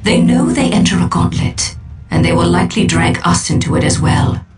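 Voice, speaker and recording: A woman's voice: on the low side, animated, far from the mic, over loud music, lightly acted. A woman playing a solemn, formal alien seer and war leader in a game cutscene. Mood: grave, wary. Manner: slow, measured, formal. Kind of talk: dramatic game cutscene acting.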